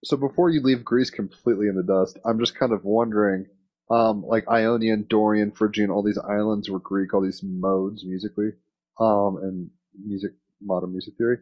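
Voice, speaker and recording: A man's voice: on the low side, steady tone, normal speed. Laid-back man interviewing, dull lo-fi sound. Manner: rambling, laid-back slangy phrasing. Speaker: interviewer and host. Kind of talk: casual conversational interview.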